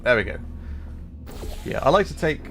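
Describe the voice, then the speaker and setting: A man's voice: medium pitch, very animated, normal speed, fairly bright tone, a little hiss or hum. A man livestreaming a video game, giving casual commentary and explaining things in a hesitant, thoughtful way.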